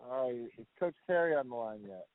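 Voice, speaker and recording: A man moderating a press conference call for a bowl game, brisk and businesslike. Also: mid-range voice, expressive. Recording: phone line.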